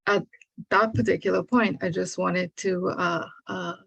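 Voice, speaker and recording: Husky Guyanese woman, slightly low voice. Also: fairly even intonation, a little slow, a bit bright, no echo, dull audio. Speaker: program alumna and regional coordinator. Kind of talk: informal testimonial speech.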